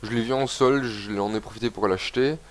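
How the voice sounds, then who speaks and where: A man's voice: lowish voice, a little fast. A young man with a cold giving rambling, casual commentary while playing a video game and reading its text aloud. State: has a cold, congested. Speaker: gaming video host. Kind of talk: casual let's-play commentary.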